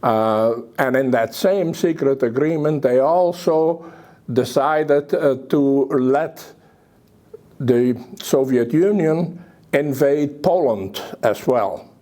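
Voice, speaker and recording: An older man with a Belgian accent giving a public talk about his memories, speaking haltingly with pauses. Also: mid-range voice, warm tone. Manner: halting, hesitant delivery with pauses. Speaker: guest speaker.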